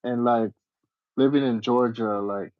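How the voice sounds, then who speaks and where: A man's voice: mid-range voice, steady tone, unhurried, dry recording, phone-quality sound. A man hosting a casual livestream interview, slangy and agreeable, asking questions in a laid-back way.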